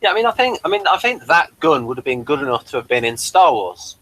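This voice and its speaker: A man's voice: a little high, big pitch swings, fast, a bit bright, no echo, rough recording. A man hosting a casual pop-culture review, rambling keenly through small details. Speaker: review show host.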